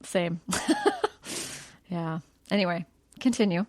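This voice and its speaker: A woman's voice: lowish voice, steady tone, takes it slowly, darkish tone. A woman hosting a podcast, upbeat and encouraging as she interviews a guest. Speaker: podcast host.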